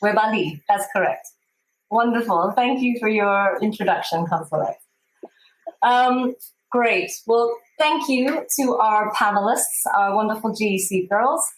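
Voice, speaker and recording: A woman with a slightly high voice hosts an online panel and calls on each speaker in turn. She talks encouragingly, with soft "um"s between prompts. The audio is echoey, with faint noise behind. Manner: encouraging, with frequent "um" and gentle prompts. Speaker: panel moderator. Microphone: video call.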